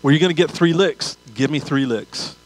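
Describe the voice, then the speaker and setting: A man's voice: on the high side, moderate pace, rough recording. An older man preaches as a pastor, talking conversationally and repeating phrases and rhetorical questions for emphasis. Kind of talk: sermon. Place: large church auditorium stage.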